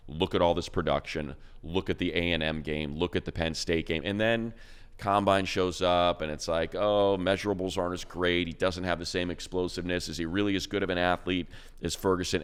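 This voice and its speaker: A man with an extremely low, smooth and resonant voice talks football in a casual co-host chat. He rambles in an animated way, reels off long strings of player names and often restarts his sentences. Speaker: co-host, team radio play-by-play announcer.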